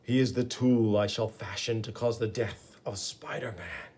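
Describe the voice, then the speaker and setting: A man voicing a cold male supervillain who murmurs his plans slowly and quietly. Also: breathy edge. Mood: scheming. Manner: low, slow, gloating murmur. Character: cold, scheming male supervillain plotting in secret.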